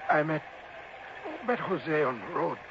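Wounded treasure hunter: halting, dazed, fairly high voice, noisy old radio drama. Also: male voice, expressive, muffled recording. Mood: dazed, strained. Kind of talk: radio crime drama acting.

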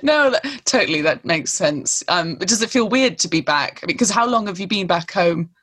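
A woman's voice: lowish voice, lively intonation, moderate pace, a bit bright. A woman with a British accent hosting a casual podcast conversation, chatty and swearing offhandedly. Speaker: podcast host.

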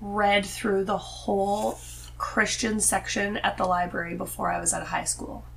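Female podcast guest, gravelly fry on a mid-pitched voice, loose and conversational, slight background noise. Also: fairly even intonation, normal speed, a bit bright, low-quality recording. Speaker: podcast guest, host's wife. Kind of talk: casual conversation about reading.

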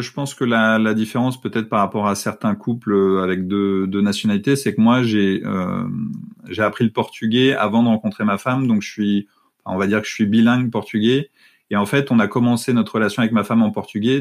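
A man in his thirties, a guest in an interview, talking about his family with many pauses and fillers. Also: lowish voice. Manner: hesitant, many fillers and restarts. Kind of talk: conversational interview.

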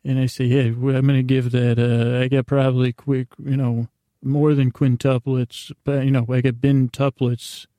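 A man hosting a bedtime podcast in a rambling, improvised monologue, halting and meandering with stammered restarts, self-deprecating and gently reassuring, in a gravelly voice. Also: mid-range voice, level tone, no room sound, studio quality. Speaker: podcast host.

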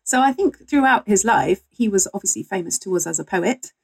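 A woman historian talks as an interview guest in a medium-pitched voice. She explains in a casual, conversational manner, informal and a little wry. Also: expressive, fairly quick, creaky, husky.

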